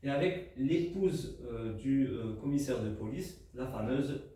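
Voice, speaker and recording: A man's voice: mid-range voice, animated, a little slow, mellow. Hesitant Arabic-speaking man in an echoey hall. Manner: hesitant, many fillers and restarts. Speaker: co-author presenting his book. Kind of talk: unscripted book talk to an audience. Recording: echoey room, mic at a distance, faint noise.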